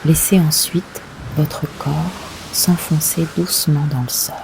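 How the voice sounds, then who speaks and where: A woman leading a guided yoga nidra meditation, giving gentle, unhurried instructions with long pauses. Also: deep voice, steady tone, noisy background.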